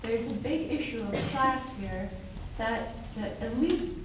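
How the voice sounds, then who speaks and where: An American woman speaks from the floor of a seminar room, earnest and emphatic in her question. Her voice sits slightly high. She is far from the mic, the room is very echoey, and a clear noise runs under her. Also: a little slow. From United States. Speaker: audience member. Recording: distant, very echoey, noisy room.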